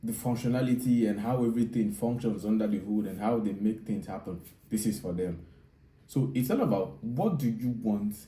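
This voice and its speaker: A man's voice: lowish voice, moderate pace, warm tone. A man in his thirties giving advice straight to viewers, speaking emphatically and repeating his key points. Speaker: video host, software developer. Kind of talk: direct-to-viewer advice monologue. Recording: roomy echo, distant mic.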